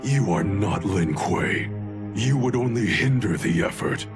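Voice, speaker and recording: A man's voice: fairly low pitch, expressive, measured pace. A man playing a proud, domineering ninja clan leader in a video game, curt and scornful in manner. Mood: curt, dismissive. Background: cinematic music.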